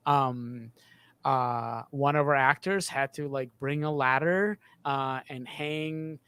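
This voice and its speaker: A man in his thirties with a mid-range voice explains technical matters casually in an interview. His phrasing is halting and informal, and he speaks into a close boom-arm microphone in a treated home studio. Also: fairly even intonation, sharp tone, smooth, no echo. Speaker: sound designer. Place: home studio with acoustic panels.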